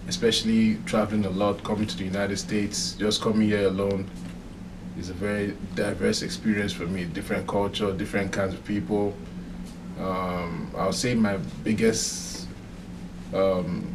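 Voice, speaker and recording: A young man from Nigeria, a student answering interview questions in a hesitant way with many ums. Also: on the low side. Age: young adult. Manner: hesitant, fills pauses with um. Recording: distant mic with clear background noise.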